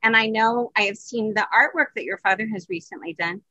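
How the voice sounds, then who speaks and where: A woman's voice: mid-range voice, a little fast, sharp tone, dry recording, highs cut off. A woman in her sixties hosting conversationally over a video call. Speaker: host, interviewer. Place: home office, indoors. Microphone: video call computer microphone.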